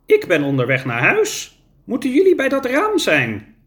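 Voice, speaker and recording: A man's voice: very high-pitched, huge pitch swings, moderate pace, a bit bright, voice pushed up, full character voice. A man reading a storybook and voicing a small, nimble, eager young male climber.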